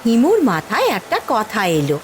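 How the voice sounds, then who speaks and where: High, lively woman storyteller. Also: moderate pace. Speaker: narrator. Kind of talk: scripted storytelling narration for a cartoon.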